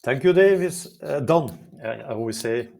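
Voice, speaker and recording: A middle-aged Belgian man speaks as a guest in an interview, with a Flemish accent that reflects his native Dutch. His delivery is conversational but halting. He repeats words as he goes and leans on them for emphasis. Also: mid-range voice, lively intonation, vocal fry, darkish tone. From Belgium, Flemish-speaking side. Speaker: guest, life sciences consultant.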